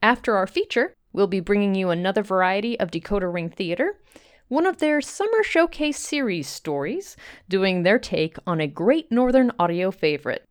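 A woman's voice: mid-range voice, animated, moderate pace, smooth. A woman hosting a radio drama show, reading a scripted introduction in a polished presenter manner. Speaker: host. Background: music.

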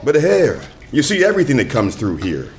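A wry, hardboiled private eye played by a man in a noir radio play. He delivers wordplay deadpan, yet his mid-pitched voice rises and falls freely. He sounds dry and streetwise. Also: a little fast. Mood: wry, persistent. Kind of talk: noir radio drama acting with narration.

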